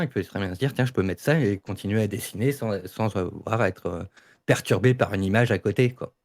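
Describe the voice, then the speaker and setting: A man in a casual debate, arguing his opinions haltingly and repeating words as he goes. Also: lowish voice. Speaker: audio fiction debate participant.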